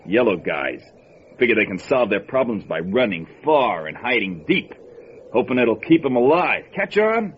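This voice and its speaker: A man's voice: mid-range voice, big pitch swings, normal speed, close to an everyday voice. An actor playing a cold, cocky hitman who talks tough gangster slang in an old-time radio thriller, menacing and sneering. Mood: cocky menace. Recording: dull, noisy lo-fi radio recording.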